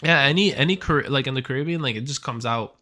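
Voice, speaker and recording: A man's voice: medium pitch, fast talker, bright, forceful tone. A young man of Puerto Rican background co-hosting a podcast, talking casually in a rambling, slangy way. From Puerto Rican family background. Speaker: podcast co-host. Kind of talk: casual chat.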